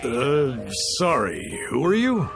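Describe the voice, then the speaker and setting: A wary, offended townsperson. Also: male voice, medium pitch, sing-song, slow, gravelly, over music, heavily acted. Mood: defensive. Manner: defensive, brusque. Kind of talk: game cutscene voice acting.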